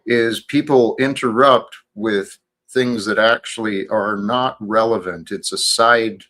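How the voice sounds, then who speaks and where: A man hosting a live chat show, calm and polite as he moderates guests. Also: on the low side, slow. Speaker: host. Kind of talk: casual chat.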